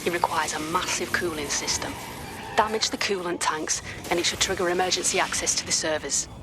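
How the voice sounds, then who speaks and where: An actress playing a serious, determined hacker who briefs a mission in a video game, measured and purposeful. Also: female voice, lowish voice, steady tone, music in the background, lightly acted. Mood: focused, grave.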